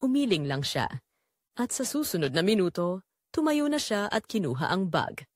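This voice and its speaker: Woman playing a heartbroken mother, lively expressive pitch. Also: moderate pace, no echo, studio quality. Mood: hurt, reflective. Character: wounded middle-aged mother confessing her heartbreak. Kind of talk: audio drama first-person narration.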